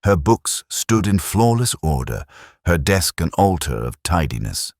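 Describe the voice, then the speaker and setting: Guy acting as a moody bookish detective telling his case, super deep voice, slow-burn melancholy, dry close studio sound. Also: big pitch swings, a little mellow, no echo, full character voice. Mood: reflective, sombre. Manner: measured, reflective, melancholic. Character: brooding, literary male police inspector narrating his case. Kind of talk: scripted first-person detective narration, audio drama.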